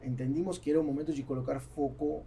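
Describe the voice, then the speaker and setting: Man, middle-aged, South American Spanish accent, native Spanish, higher voice, dark tone, halting casual guest talk. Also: fairly even intonation, creaky, slight background noise. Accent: Spanish-speaking South American. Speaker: guest, international entrepreneur. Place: small studio with acoustic foam, indoors. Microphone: podcast microphone on boom arm.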